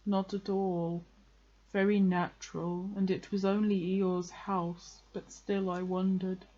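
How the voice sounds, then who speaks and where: Woman voicing a slow, mournful donkey. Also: on the low side, steady tone, dark tone, dull audio. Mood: gloomy, resigned. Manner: melancholy, slow, drawn-out delivery. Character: gloomy, self-pitying old male donkey. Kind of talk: children's storybook character reading.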